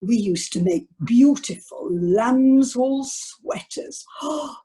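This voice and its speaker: A woman playing a fussy, chatty retired wife with a northern English accent and a husky voice in an amateur radio comedy. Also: sits high, expressive, slow pace, dark tone, heavily acted. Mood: polite, gushing. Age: older. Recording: online video meeting audio.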